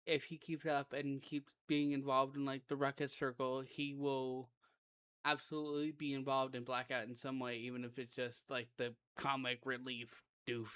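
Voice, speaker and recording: Raspy-voiced man rambling through a review. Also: medium pitch, fairly bright tone, no echo. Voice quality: raspy right now. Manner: rambling, conversational, self-correcting. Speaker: wrestling reviewer. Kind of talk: casual solo show review.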